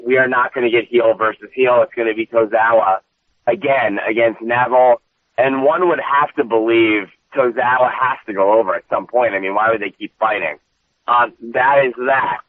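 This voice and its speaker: Booming high-energy man on a phone line. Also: no room sound. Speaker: podcast co-host. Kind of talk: casual wrestling recap chat. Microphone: phone call. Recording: dry tinny phone line.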